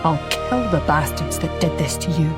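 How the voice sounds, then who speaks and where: A woman voicing a gruff, protective Scottish male vampire warrior with a Scottish accent. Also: low-pitched, expressive, moderate pace, over music, pitched below the performer's own voice. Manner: gruff, fatherly.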